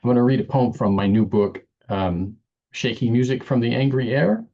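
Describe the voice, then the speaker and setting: A man reading his poetry at an online open mic over a video call, chatting casually with many fillers before a deliberate reading. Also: medium pitch, dark tone. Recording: dull lo-fi video call audio.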